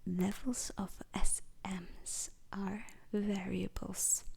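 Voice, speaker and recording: A woman performing a whispered ASMR roleplay as a formal, grave veteran instructor lecturing space recruits, in a breathy, deliberate voice. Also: medium pitch, level tone, dark tone, exaggerated voice. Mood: measured, solemn.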